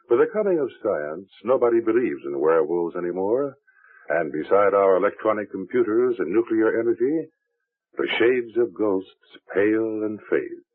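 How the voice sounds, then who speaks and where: A man hosting a radio suspense series, narrating in a solemn, ominous and deliberate way. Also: lowish voice, no room sound. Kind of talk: dramatic scripted radio narration. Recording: roomless tinny old radio broadcast.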